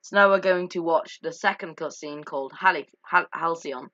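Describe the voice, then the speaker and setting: A young-sounding gamer giving casual commentary on a game's story in a halting way, often repeating and correcting words, on a lo-fi microphone. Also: medium pitch, pushed and bright, no echo. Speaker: gaming video narrator. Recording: dull lo-fi microphone.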